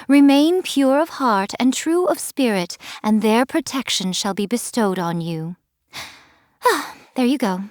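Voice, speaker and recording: A dry, close recording of a sly fox-spirit shrine maiden from a video game. She speaks at a medium pitch with theatrical self-assurance. Her delivery is playful and haughty, always teasing. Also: dry recording. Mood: ceremonial. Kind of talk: scripted video game character voice lines.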